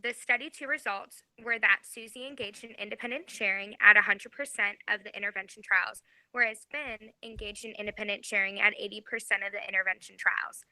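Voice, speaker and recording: A woman in her twenties reading a class research presentation from a script, careful and even. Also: mid-range voice, a little fast, hardly any pauses, dry recording, rough recording. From Georgia, USA. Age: 20s. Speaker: graduate student presenter.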